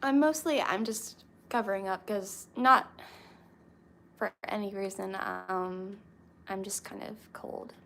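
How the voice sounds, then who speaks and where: A young woman chatting casually with her viewers, relaxed and reading comments aloud. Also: mid-range voice, takes it slowly, slight background noise. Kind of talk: casual chat with live chat.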